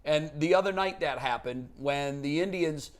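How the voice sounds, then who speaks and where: An American man with a rather high voice speaks as a sports show panelist. He builds toward his interview questions in a conversational style. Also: moderate pace.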